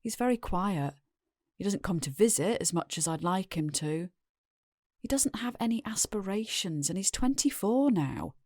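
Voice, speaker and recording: A woman's voice: medium pitch, expressive, moderate pace, a little mellow, no echo, studio quality. An actress with a British accent playing a weary, resigned middle-aged wife and mother, confessing plainly in an audio drama. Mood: weary, disappointed. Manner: plain-spoken, world-weary.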